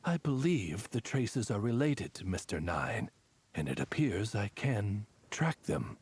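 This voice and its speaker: Very raspy, courtly raven attendant. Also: male voice, fairly low pitch, big pitch swings, a little slow, darkish tone, exaggerated voice. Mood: solemn, intrigued. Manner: formal, ornate, polite. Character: courtly male raven attendant, loyal and theatrical. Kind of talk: English video game voice acting.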